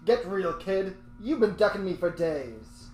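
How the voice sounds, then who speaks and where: A man's voice: high-pitched, expressive, measured pace, darkish tone, roomy sound, faint music behind. A man voicing a pushy male street thug collecting a debt, brusque and threatening. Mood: menacing.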